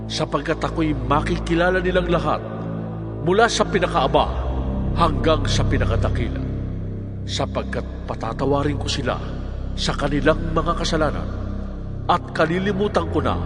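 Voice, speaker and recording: A man acts out the voice of God, male, solemn and commanding, with a Filipino accent. He declaims slowly in a fairly high register for a dramatized scripture. Long reverb surrounds him and loud music swells behind. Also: natural voice.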